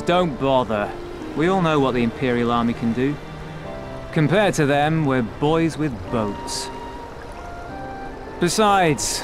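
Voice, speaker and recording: An actor playing a cynical, world-weary pirate in a video game, speaking in a wry, measured way. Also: male voice, mid-range voice, lightly acted.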